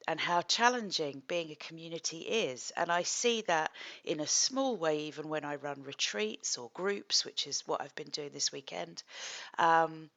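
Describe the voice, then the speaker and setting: A middle-aged woman, a psychotherapist, talking calmly and thoughtfully in a slightly husky voice as she hosts a creative project. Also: deep voice. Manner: gentle, thoughtful, with soft laughs and pauses. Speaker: psychotherapist and art project host. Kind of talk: calm reflective vlog talk.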